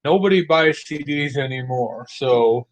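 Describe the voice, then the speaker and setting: A man's voice: mid-range voice, expressive, measured pace, poor audio. A man chatting casually as a guest, easygoing and matter-of-fact as he tells stories. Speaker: guest, commission client. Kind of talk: casual chat.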